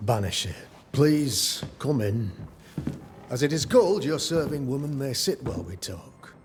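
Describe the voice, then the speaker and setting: A man's voice: medium pitch, lively intonation, unhurried, background noise, natural voice. Man playing a pompous, formal colonial governor. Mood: condescending. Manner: formal, condescending, smooth diplomacy. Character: formal, pious, self-important male colonial governor.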